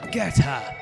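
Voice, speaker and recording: Shady, tipsy gatekeeper, male actor, unhurried and menacing, audio drama, music bed. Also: medium pitch, gravelly, exaggerated voice. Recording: music over the dialogue.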